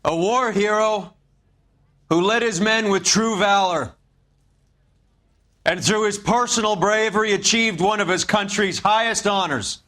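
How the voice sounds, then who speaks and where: A man's voice: sits high, unhurried, pauses often, a bit bright. A man playing a solemn eulogist in a game drama, speaking in a formal, grandiose, oratorical way. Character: solemn, smooth-talking man delivering a funeral eulogy.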